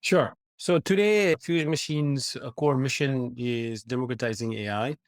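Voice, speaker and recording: Man from Nepal, forties, earnest explainer, interview guest, mid-pitched, dry close sound. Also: a little slow, no echo. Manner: earnest, explanatory, with fillers and restarts. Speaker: guest, AI company founder. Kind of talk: conversational interview answers.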